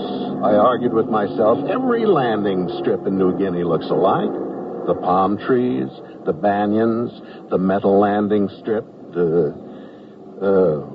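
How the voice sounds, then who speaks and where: A man's voice: medium pitch, noisy background. A man with an American accent playing an earnest army private who wryly narrates his wartime memoir in a radio comedy. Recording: muffled 1979 radio broadcast, music behind.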